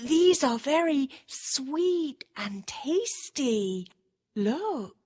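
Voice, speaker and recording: A woman playing a vain, wicked, wheedling queen in a fairy tale, with theatrical drawn-out delivery. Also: high-pitched, expressive, a little mellow, heavily acted. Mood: sly, wheedling. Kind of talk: fairy tale storybook acting.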